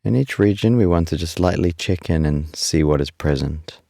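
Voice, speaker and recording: A man leading a guided body scan meditation in a calm, unhurried, soothing way, leaving long pauses between instructions. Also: deep voice, vocal fry, no echo, clean studio sound.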